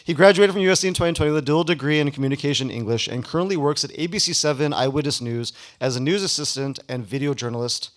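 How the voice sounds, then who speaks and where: A man's voice: on the high side, fast, hardly any pauses. Man hosting a live awards ceremony. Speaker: ceremony host introducing guests. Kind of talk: public introduction, reading a bio then ad-libbing. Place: live event before an audience.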